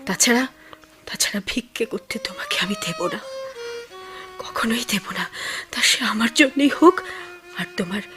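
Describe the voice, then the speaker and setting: Kusum, a high-voiced, tearful village wife. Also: female voice, very animated, normal speed, pauses often, over music. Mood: determined, pleading. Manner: emotional, breaks off and repeats. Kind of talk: radio drama acting.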